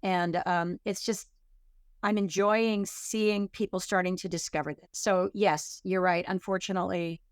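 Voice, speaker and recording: Chatty woman with a smooth voice. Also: fairly low pitch, steady tone, unhurried, no echo, studio quality. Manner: chatty, many fillers and restarts. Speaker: author and klezmer singer, guest. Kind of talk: conversational interview answers.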